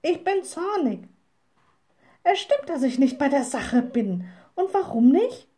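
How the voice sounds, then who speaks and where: A woman's voice: high voice, big pitch swings, measured pace, darkish tone, voice pushed up, heavily acted. A woman voicing a small, hot-tempered young witch from a storybook, stubborn and indignant. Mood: angry. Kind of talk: storybook character voice.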